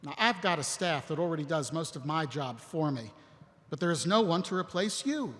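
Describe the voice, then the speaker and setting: A man's voice: on the high side, expressive, moderate pace, a bit bright. A man acting as an ailing young aide, dryly loyal and stoic, in a live audio drama. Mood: frankly concerned. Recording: echoey hall, live stage recording.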